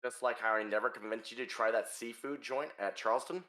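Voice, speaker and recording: Man playing a relaxed, mid-pitched grim reaper. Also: brisk, close to an everyday voice. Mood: easygoing.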